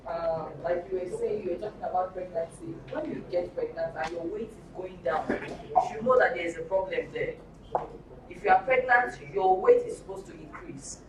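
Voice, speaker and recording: A woman's voice: lowish voice, animated, normal speed. A woman with a Nigerian accent, a journalist speaking spontaneously from the floor in a workshop discussion. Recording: echoey room, distant mic, clear noise.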